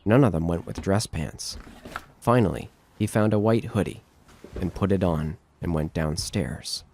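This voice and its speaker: A man's voice: low-pitched, a little slow, darkish tone, slight background noise. A man narrating a scripted horror story.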